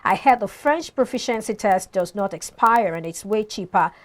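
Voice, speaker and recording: Nigerian woman co-host, reads out reactions, gets emphatic, mid pitch. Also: normal speed. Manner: animated, emphatic when making a point. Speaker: morning show co-host. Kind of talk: studio talk show discussion.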